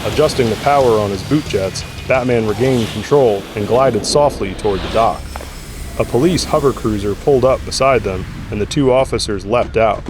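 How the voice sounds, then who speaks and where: Male narrator, low voice, scripted audio drama storytelling, faint music behind. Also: normal speed.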